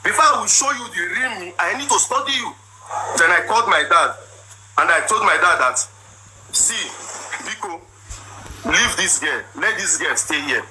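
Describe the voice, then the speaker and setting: Loud Nigerian man, high-pitched, insistent. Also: expressive, moderate pace, long pauses. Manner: loud, forceful, repetitive storytelling. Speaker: husband telling his side. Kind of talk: emotional personal account. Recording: noisy background.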